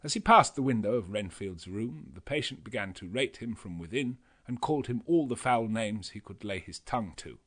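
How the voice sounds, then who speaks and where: A man narrating a classic novel as an audiobook, in a measured and expressive reading. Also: on the low side. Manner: measured, expressive reading with a lively, shifting delivery.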